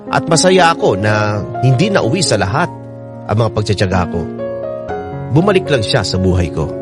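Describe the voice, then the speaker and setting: A man's voice: fairly low pitch, sing-song, moderate pace, over music. An actor playing a contented young husband with a Filipino accent, narrating his love story for a radio drama. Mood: contented, grateful.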